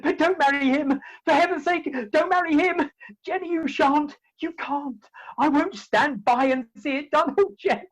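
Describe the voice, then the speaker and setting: A woman playing a bumbling, lovesick Victorian gentleman in a comic play, with an English accent and blustering, theatrical delivery, recorded at home over a video call. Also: high-pitched, normal speed, lightly acted. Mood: pleading. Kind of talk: comic stage-play acting.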